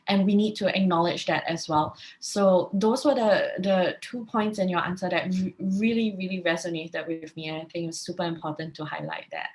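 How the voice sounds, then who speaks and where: A woman moderating a webinar panel over a video call, hesitant and often restarting her phrases. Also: lowish voice.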